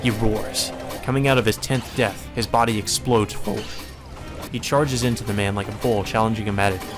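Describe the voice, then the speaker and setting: A man's voice: on the low side, fairly even intonation, fairly quick. A man reading a visual novel aloud as solemn, dramatic scripted narration. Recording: music behind the voice.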